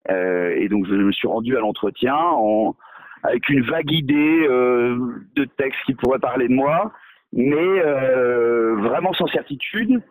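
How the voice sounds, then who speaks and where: A man's voice: mid-range voice, unhurried, no room sound, narrow, tinny audio. A male novelist in a radio interview, talking hesitantly with many fillers and restarts, mocking himself. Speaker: novelist guest.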